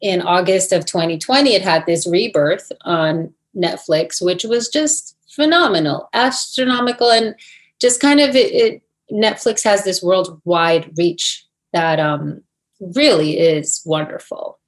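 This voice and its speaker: A woman's voice: lowish voice, unhurried. A middle-aged woman from New Jersey, an actress answering interview questions in a thoughtful, hesitant way with many fillers and restarts. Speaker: actress, guest.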